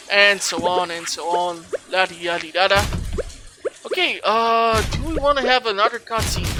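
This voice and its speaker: A person giving casual game walkthrough commentary as a video host, rambling and thinking aloud. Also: mid-range voice. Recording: clearly noisy recording with clicks.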